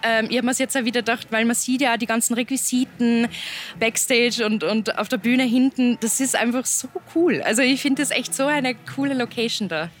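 A young woman from Upper Austria with an Upper Austrian accent, speaking as a musician guest in a relaxed interview, chatty and loose. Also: on the high side, fairly quick. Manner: chatty, loose, laughs between thoughts.

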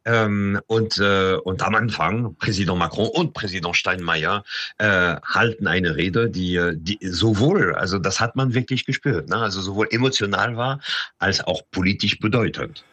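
Deep husky-voiced man from France. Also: moderate pace, sharp tone, no room sound. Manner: hesitant, many fillers and restarts. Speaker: interview guest. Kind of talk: radio interview.

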